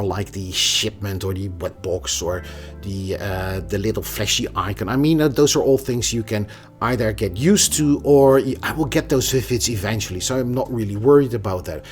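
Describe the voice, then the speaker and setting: A man's voice: medium pitch, a little mellow. A man giving a casual, opinionated tech review in a conversational manner. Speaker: product reviewer.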